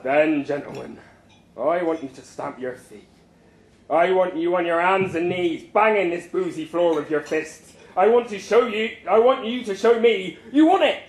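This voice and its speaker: Fervent cult-like boss, acted by a male storyteller: high-pitched rousing declamation, preacherly, roomy sound. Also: normal speed. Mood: fired up, commanding. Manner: rousing, declamatory, chant-like call to the crowd. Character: fervent male boss rallying a beer-worshipping congregation like a preacher.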